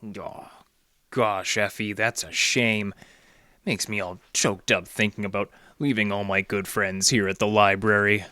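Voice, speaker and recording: An actor playing a wry, deadpan library detective who narrates his case in hardboiled old radio style. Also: fairly low pitch, unhurried, no room sound. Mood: mock-sad, needling. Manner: deadpan, sardonic, slangy.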